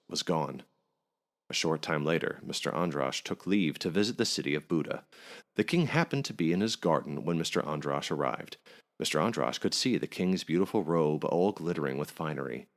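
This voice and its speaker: A man narrating a scripted folk tale aloud as a podcast storyteller. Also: rumbling low.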